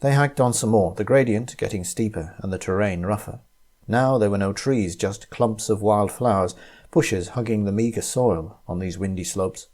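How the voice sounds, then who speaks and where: Low, mellow male storyteller. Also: moderate pace. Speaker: author reading own short story. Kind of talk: scripted story narration.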